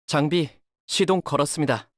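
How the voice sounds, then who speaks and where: Male actor as young game mechanic: higher-pitched, boyish, modest, easily flustered, dry studio sound. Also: moderate pace, no echo, close to an everyday voice. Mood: eager, focused. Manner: boyish, polite, hesitant. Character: earnest, polite young boat repairman, modest and easily flustered. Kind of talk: video game character voice lines.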